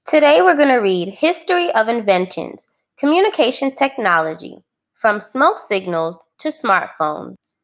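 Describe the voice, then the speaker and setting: A woman teacher reading a children's book aloud, phrasing it clearly and adding simple explanations for young listeners. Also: a little high, big pitch swings, a little slow.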